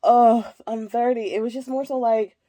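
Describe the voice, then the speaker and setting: A woman's voice: on the high side, flat delivery, moderate pace, mellow, no echo. A woman in her thirties vlogging casually from a child's bedroom while packing, rambling with lots of fillers and a slightly nasal voice from a cold. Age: 30s. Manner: rambling, laughs often, lots of "like" and "um"; packing clothes while talking. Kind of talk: casual chat.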